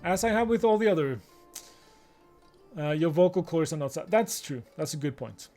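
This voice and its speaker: Higher-pitched man live streaming a game, music behind. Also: expressive, normal speed, a little mellow. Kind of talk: casual stream chat mixed with reading game dialogue aloud. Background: video game music and game narration.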